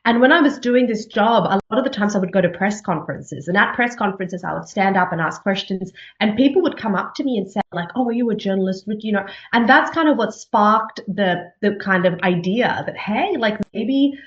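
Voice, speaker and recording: Woman, forties, Australian accent, chatty interviewee, fillers and restarts, mid pitch, echoey room, slightly muffled. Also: expressive. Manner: chatty, quick, many fillers and restarts, laughs easily. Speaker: journalist and news anchor being interviewed. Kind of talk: conversational interview.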